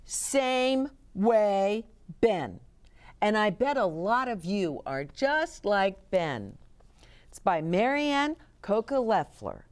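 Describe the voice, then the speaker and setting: A woman reading picture books aloud to children in an expressive way, chatting to her young listeners as host. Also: mid-range voice, takes it slowly. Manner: expressive, explains words and chats to young listeners. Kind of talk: animated children's read-aloud with asides.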